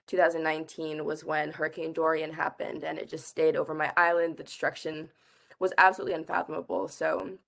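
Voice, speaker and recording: A woman's voice: sits low, little pitch movement, a little fast, creaky, a bit bright. A young woman from the Bahamas, a climate activist guest answering interview questions earnestly, with frequent "like" and "I think". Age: young adult.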